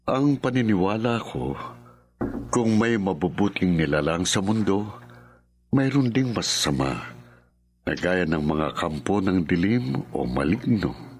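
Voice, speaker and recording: An actor playing a calm, reassuring parish priest in a Filipino horror radio drama, measured and formal with a Filipino accent. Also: male voice, low voice, exaggerated voice. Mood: calm, grave.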